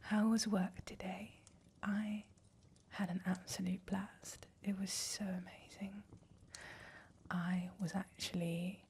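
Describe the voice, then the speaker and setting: A woman doing a put-on British accent in a soft, flirty whispered roleplay. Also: mid-range voice, level tone, slow, slight background noise. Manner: flirty, teasing.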